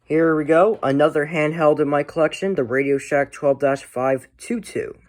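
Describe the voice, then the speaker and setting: A man's voice: fairly high pitch, moderate pace. A man chatting casually as a hobbyist presenter, rambling and often trailing off at the end of his thoughts. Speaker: hobbyist collector presenting a video. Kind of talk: casual show-and-tell chat.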